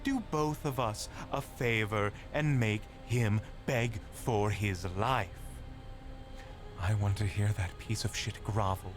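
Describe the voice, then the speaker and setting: A man voicing a sadistic, taunting tempter in an audio drama, goading slowly and with contempt. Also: lowish voice, pitched above the performer's own voice. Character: sadistic, taunting male tempter urging violence. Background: background music.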